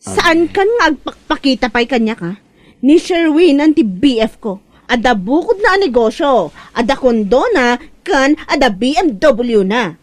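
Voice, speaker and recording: A woman's voice: sits high, sing-song, measured pace, no echo. A woman with an Ilocano accent playing a boastful, materialistic young ex-girlfriend in a comedy drama. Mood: haughty. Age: young adult.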